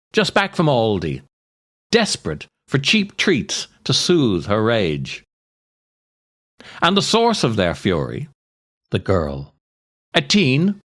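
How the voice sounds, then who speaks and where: Older guy telling comic stories, slow and theatrical, voice swings up and down a lot. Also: lowish voice, fairly bright tone. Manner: theatrical, deliberate delivery with dramatic pauses. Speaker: podcast host, writer and actor. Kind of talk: scripted comic storytelling and verse reading.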